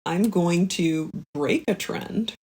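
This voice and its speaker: A woman's voice: on the low side, slow, a little mellow. A woman chatting casually as a guest comedian and judge of a game on a comedy podcast. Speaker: guest comedian judging pitches. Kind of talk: casual game-judging chat.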